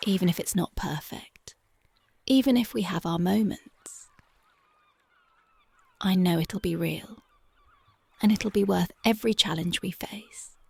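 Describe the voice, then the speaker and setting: A voice actress playing a young punk girlfriend, speaking softly and whispering in a dreamy, intimate, affectionate ASMR roleplay close to the mic. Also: medium pitch, unhurried, creaky, long pauses, breathy edge, no room sound. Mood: tender dreamy. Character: young punk woman, tender devoted girlfriend.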